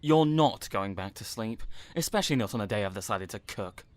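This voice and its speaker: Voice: mid-range voice, lively intonation, brisk, noisy background, natural voice. An actor playing a dry, teasing but caring male housemate in an audio drama, wry and casual.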